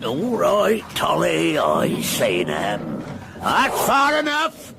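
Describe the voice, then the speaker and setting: An actor playing a surly, hostile quarry guard in a period radio drama, curt and gruff. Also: male voice, on the high side, wildly swooping pitch, extremely slow, heavily acted. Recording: noisy outdoor scene with animal sounds.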